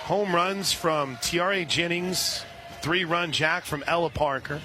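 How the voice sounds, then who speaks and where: A man calling live softball play-by-play on the radio as the announcer, with an excitable delivery. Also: on the high side, slow pace. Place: at a softball stadium. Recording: noisy live radio broadcast with stadium ambience. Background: clear background noise, ballpark ambience.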